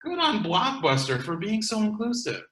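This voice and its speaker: A man's voice: high voice, wildly swooping pitch, moderate pace, fairly bright tone, full character voice. A man playing a wary, exasperated sidekick in a comedy script read. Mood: deadpan reading. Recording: roomy, echoing sound.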